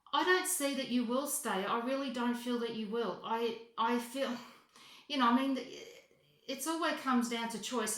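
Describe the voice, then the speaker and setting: A woman giving an unscripted psychic reading as a voicemail, halting and correcting herself as she goes, often repeating phrases for emphasis. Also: a little high, a bit bright. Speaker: psychic reader. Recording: roomy, echoing voice recording.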